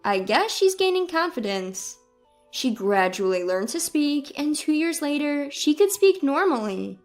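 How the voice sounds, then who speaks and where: A woman's voice: sits high, very animated, unhurried, faint music behind. A woman reading a scripted first-person family story as its narrator.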